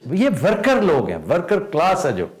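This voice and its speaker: A man's voice: on the high side, huge pitch swings, normal speed, echoey room. A man hosting a comedy talk show, prodding his guests with playful questions. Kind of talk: comic talk show banter.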